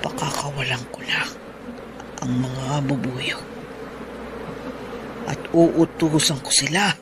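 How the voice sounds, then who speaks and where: A man's voice: mid-range voice, fairly even intonation, slow pace. An actor playing a vengeful old widowed woman who is a sorceress, with a menacing, threatening manner, on a noisy radio drama track with buzzing bees behind. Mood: sinister. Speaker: villain in a radio horror drama.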